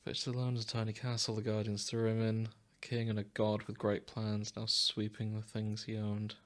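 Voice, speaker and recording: A man's voice: fairly low pitch, completely flat delivery, normal speed. A man co-hosting a podcast in casual comedic chat, teasing and mock-solemn as he talks and reads aloud. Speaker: podcast co-host. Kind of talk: casual comedic chat with fan fiction readings.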